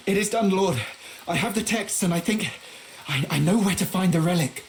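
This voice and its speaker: An actor playing a learned, timid chronicler in a video game, speaking in formal, measured, literary phrases. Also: male voice, high-pitched, a little hiss or hum. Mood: breathless relief. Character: earnest, learned, timid medieval scholar and chronicler. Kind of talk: dramatic journal narration in a video game.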